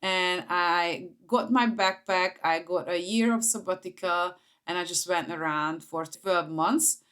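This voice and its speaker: A woman's voice: fairly low pitch, heavy background noise. A woman in her forties with a Hungarian accent, talking informally to camera as she shares personal stories. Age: 40s. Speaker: vlogger, entrepreneur.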